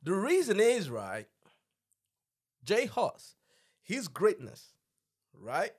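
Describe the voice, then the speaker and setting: Man, thirties, fairly high voice, emphatic slangy podcast host, close mic. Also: wildly swooping pitch, very slow, slightly rough. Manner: emphatic, slangy, repeats tags like "you know what I'm saying". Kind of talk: casual podcast chat. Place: small indoor home-style podcast studio. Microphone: close boom-arm podcast microphone with headset.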